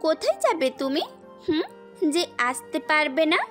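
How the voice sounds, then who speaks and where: A woman's voice: high-pitched, very animated, normal speed, a bit bright. A woman playing a devoted, anxious young fiancée in a romantic audio drama, tender and questioning. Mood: questioning, worried. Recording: music bed under the voice.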